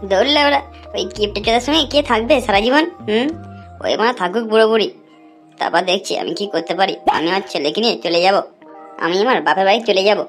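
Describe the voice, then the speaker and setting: A woman's voice: medium pitch, fast talker, close to an everyday voice. A woman voicing a scheming young widowed daughter-in-law in an amateur cartoon, brash and colloquial. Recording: music under the voice.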